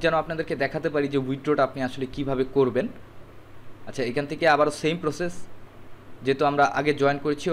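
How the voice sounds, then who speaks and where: A man's voice: on the high side, a little hiss or hum. A man narrating a screen tutorial step by step, instructive and mixing in English words. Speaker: tutorial presenter.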